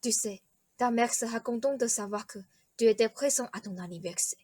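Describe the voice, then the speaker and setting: Encouraging king and father played by a woman, fairly high voice, dry close sound, coaxing, radio drama. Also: brisk, dark tone, dry recording, lightly acted. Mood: reassuring. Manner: coaxing, persuasive. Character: coaxing, encouraging royal father of a young prince. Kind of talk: radio play acting.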